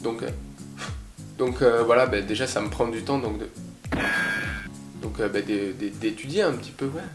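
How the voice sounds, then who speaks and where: A man's voice: medium pitch, normal speed. A young man with a cold chatting casually to camera in his bedroom, rambling with lots of fillers, recorded on a cheap desk mic. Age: young adult. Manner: rambling, filler-heavy, self-deprecating. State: congested with a cold. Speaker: YouTuber. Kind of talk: casual vlog chat. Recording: echoey room with background music.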